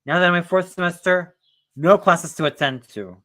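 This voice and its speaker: A man's voice: high voice, normal speed, fairly bright tone. A man in his twenties hosting a casual stream chat, rambling with lots of fillers. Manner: rambling, filler-heavy, restarts often. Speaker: streamer host.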